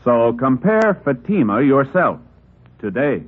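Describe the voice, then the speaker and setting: A man's voice: medium pitch, sing-song, measured pace. A man announcing in formal 1950s radio style, selling and introducing a program. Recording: muffled 1950s radio recording.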